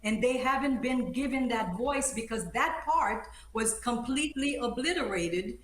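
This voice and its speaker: A woman's voice: fairly high pitch, expressive, unhurried, fairly bright tone. A woman born in Haiti and raised in the United States, speaking emphatically and indignantly as an attorney guest in a live news interview. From born in Haiti, grew up in the United States. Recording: roomy, echoing sound.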